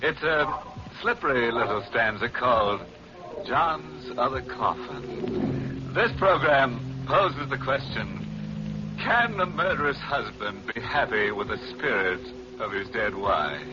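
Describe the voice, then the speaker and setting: A man's voice: medium pitch, animated, background noise. A man playing a gleefully macabre, punning radio horror host in a theatrical 1940s style, on a muffled old broadcast. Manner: theatrical, slow, ghoulish joking.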